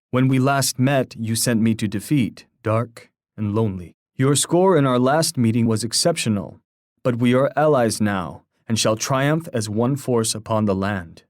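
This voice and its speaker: An actor playing a proud, poetic Native war chief in a video game, speaking in a formal, grandiose, oratorical manner. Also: male voice, medium pitch, a little mellow, no room sound, studio quality, close to an everyday voice. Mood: solemn, stately. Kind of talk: scripted video game voice lines.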